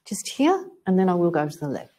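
A woman moderating a panel discussion, putting brisk follow-up questions to the speakers. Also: lowish voice, big pitch swings, warm tone.

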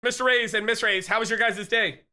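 A man in his thirties streaming from home on a headset, loudly greeting chat with excitable, profane shout-outs while he is losing his voice. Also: high voice, a little fast. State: losing his voice, getting sick. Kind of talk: casual live-stream chat.

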